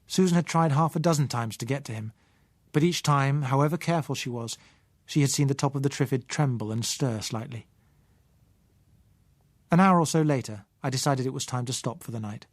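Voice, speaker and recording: A man with a British accent reading a novel in the first person as an audiobook narrator, in a measured, literary way. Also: medium pitch, no echo.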